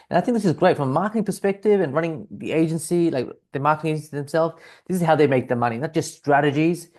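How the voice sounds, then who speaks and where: Australian-accented man pitching to camera. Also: a little mellow. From South Africa, raised in Australia. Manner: conversational, repeats key phrases, frequent fillers. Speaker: business coach.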